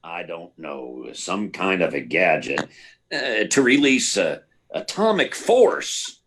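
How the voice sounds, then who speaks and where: A man playing a flustered, blustering newspaper editor in an old-time radio drama, stammering and excitable. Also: low-pitched, slow pace, exaggerated voice. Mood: agitated. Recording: live remote performance, clear background hiss.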